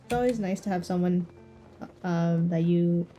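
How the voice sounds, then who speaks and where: A woman's voice: lowish voice, normal speed, dark tone. A woman livestreamer chatting casually with her viewers, often repeating short phrases. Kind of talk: casual chat with stream viewers. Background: game music.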